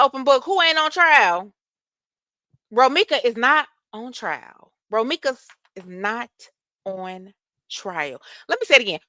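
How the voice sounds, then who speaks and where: A woman hosting a casual livestream chat, reading and answering viewer comments. She has a soft voice and speech, and she talks in an animated way, cursing freely. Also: sits high, no echo. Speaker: livestream host.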